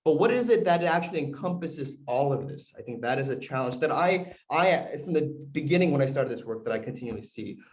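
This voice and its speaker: A man's voice: on the high side, lively intonation, fairly quick, hardly any pauses, poor audio. A man whose first language is Korean, a community organizer giving a talk to an audience on a video call, speaking conversationally, asking questions, with frequent fillers. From United States.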